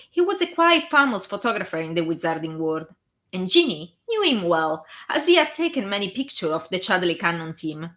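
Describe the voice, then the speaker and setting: A woman with a strong Italian accent reads a story aloud on a live stream, and she often breaks off for chatty asides. Her voice is bright and animated, and it rises and falls a great deal. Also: lowish voice. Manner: lively, chatty, self-conscious asides. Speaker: fan fiction reader and live streamer.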